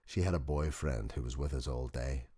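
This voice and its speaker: A man performing a dramatic audiobook monologue as an old working-class widower mechanic, gentle, proud and nostalgic, telling his story in a conversational way. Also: a bass voice, normal speed, slightly rough. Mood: tender, nostalgic. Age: older.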